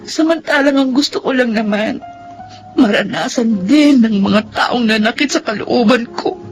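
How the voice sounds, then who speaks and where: High-voiced, bitter, vengeful Filipino woman played by an actress. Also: moderate pace, soft background music, lightly acted. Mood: sorrowful. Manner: anguished, emphatic dramatic delivery. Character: bitter, wounded, vengeful woman betrayed in love. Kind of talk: Filipino melodrama acting.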